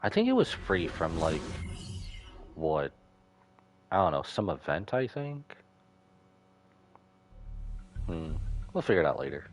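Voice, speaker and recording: A man's voice: deep voice, wildly swooping pitch, normal speed, faint music behind. A man doing casual livestream commentary on a game, musing aloud in short, informal remarks. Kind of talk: casual gaming stream commentary.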